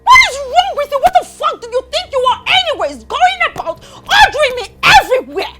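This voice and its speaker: An actress playing a hot-tempered young royal daughter-in-law, emphatic and confrontational, in a film melodrama. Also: female voice, high-pitched, very animated, unhurried, a bit bright, soft background music, heavily acted. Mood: furious. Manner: confrontational, emphatic, repeats herself.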